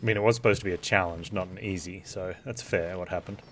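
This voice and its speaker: A man's voice: deep voice, steady tone, fast, a little hiss or hum. A man giving casual gameplay commentary as a streamer, with wry, joking asides. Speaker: game streamer. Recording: loud game music behind. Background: game music and sound effects.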